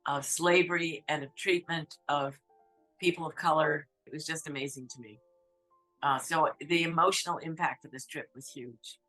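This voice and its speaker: A woman photographer from New Hampshire speaking candidly, a little halting, about her experience. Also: low-pitched, fairly bright tone, low-quality recording. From New Hampshire, USA. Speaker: interviewee, photographer. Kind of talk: reflective testimony.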